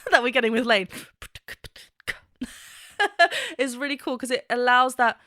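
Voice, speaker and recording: A woman's voice: sits high, animated, measured pace, sharp tone, no echo, studio quality. A woman vocal coach reacting to and analysing a song, enthusiastic and laughing often, singing bits to demonstrate.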